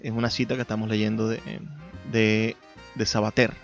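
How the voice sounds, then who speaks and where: A man's voice: lowish voice, little pitch movement, moderate pace, muffled recording. A man hosting a radio programme, partly reading a prepared text aloud and addressing listeners. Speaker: radio host. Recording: band-limited radio sound with music behind. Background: music.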